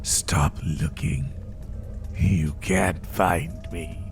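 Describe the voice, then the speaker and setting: A sadistic spider monster played by a man stalks its prey in a horror audio roleplay. The voice is extremely low and crackles with fry, often sinking into a breathy whisper. It draws out its taunts very slowly and with cold menace. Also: faint music behind, exaggerated voice. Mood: quiet menace. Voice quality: deep breathy, gravelly half-whisper. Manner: slow, taunting, menacing, drawn-out phrases. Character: sadistic male spider monster hunting prey through the woods.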